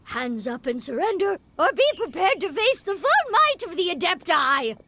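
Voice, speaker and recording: A woman's voice: very high-pitched, big pitch swings, normal speed, bright, forceful tone, voice pushed down, exaggerated voice. A woman voicing a tiny companion who imitates a boastful adeptus inventor in a theatrical, proclaiming voice. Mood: grandiose.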